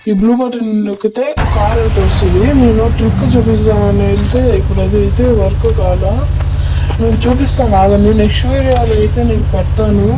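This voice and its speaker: A woman giving instructional, repetitive game tutorial commentary through a voice changer. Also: medium pitch. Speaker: gaming video creator. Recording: clearly noisy home recording with clicks.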